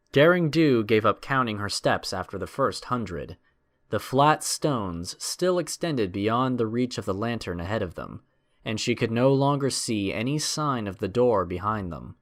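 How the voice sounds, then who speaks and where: A man's voice: on the low side, animated, measured pace, fairly bright tone. A man narrating a scripted adventure story in a dramatic storytelling style.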